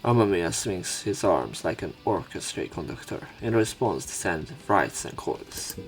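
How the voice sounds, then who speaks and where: A low-voiced man with a creaky, gravelly edge reads a visual novel aloud as a let's play host. His delivery moves between dramatic narration and casual commentary, with faint game music underneath. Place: voice-over on game footage.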